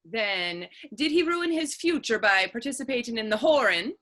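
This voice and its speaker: An actress playing a sassy, quick-witted young Irish woman in a stage play reading, saucy and challenging in folksy phrases. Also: a little high, expressive, sharp tone. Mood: inquisitive. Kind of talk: stage readers theater dialogue.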